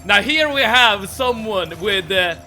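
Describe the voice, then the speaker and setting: High, bright-voiced streamer man. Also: expressive, takes it slowly, soft background music. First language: Swedish. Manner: chatty, stammers and repeats words, laughs often. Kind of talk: casual chat while playing a game.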